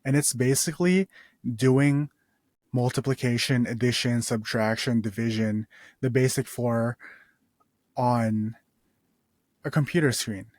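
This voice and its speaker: A young man in his twenties hosts a casual podcast and tells a personal story at an unhurried pace. He rambles, restarts his sentences and drops in laid-back slang. The room sounds dry, with little reverb. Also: medium pitch, darkish tone. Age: 20s. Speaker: podcast host.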